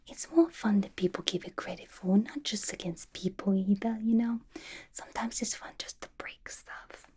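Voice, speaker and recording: A woman voicing a playful, unhinged young villain inmate in a chummy, slangy ASMR roleplay, mostly in a breathy whisper. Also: medium pitch, dark tone. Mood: mischievous, conspiratorial.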